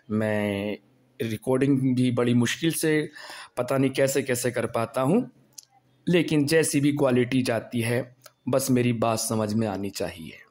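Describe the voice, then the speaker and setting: A hoarse man recording at home, giving an impassioned, preachy monologue that builds to angry rhetorical questions. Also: mid-range voice, darkish tone. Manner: preachy, rhetorical, rising to anger. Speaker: video blogger.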